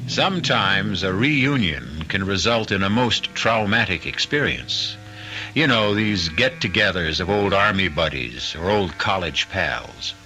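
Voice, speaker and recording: A man hosting and narrating an old-time radio mystery with dramatic, deliberate phrasing. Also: low-pitched, lively intonation, bright, forceful tone, faint music behind. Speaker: host and narrator.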